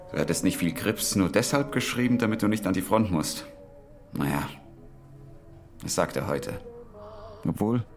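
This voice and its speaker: An actor playing an earnest, wry British wartime code instructor in a radio play, speaking in a measured, deliberate way with pauses. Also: male voice, deep voice. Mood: wistful, wry.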